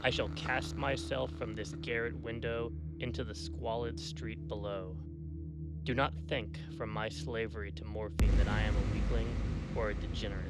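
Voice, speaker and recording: A man's voice: lowish voice, close to an everyday voice. A man playing a despairing, drug-haunted castaway who recites a last confession in a grave, formal horror-drama style. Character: despairing, morphine-addicted castaway writing his last confession. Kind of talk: dramatic gothic horror reading. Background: ambient musical score.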